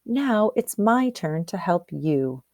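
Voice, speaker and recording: A woman voicing helpful, grateful spirits of fire and water in a storybook, calm and measured. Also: mid-range voice, no echo, studio quality.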